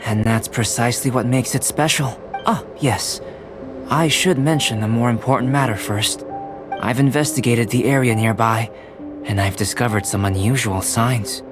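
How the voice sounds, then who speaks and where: A man voicing a calm, scholarly young alchemist in a video game, speaking in a measured, formal, thoughtful way. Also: medium pitch, lightly acted. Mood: composed, intrigued. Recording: background music under the voice.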